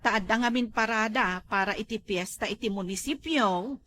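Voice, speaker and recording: A woman's voice: medium pitch, normal speed, pushed and bright, slight background noise, natural voice. A woman with an Ilocano accent playing a chirpy, boastful, gossipy village neighbour in a comedy drama. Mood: teasing, advising. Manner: giggly, teasing, gossipy.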